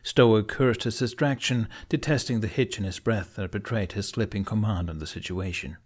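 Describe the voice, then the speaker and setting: A man's voice: fairly low pitch, normal speed. A man narrating an audiobook as dramatic, scripted storytelling.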